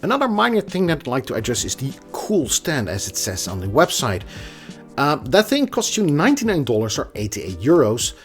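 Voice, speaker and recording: A man's voice: medium pitch, wildly swooping pitch, fairly quick, faint music behind. A man giving a casual, opinionated tech review in a conversational manner. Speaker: product reviewer.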